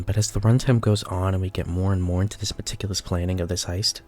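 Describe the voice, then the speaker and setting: A man in his early twenties, nasal and stuffy from a sinus infection, reading blunt, opinionated film reviews in a scripted voice-over. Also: sits low. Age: early 20s. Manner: blunt, opinionated verdicts with scores.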